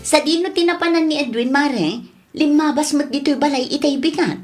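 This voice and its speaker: Woman acting nosy opinionated neighbor friend, highish voice, ilocano accent, radio drama. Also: normal speed, soft background music, close to an everyday voice. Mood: inquisitive.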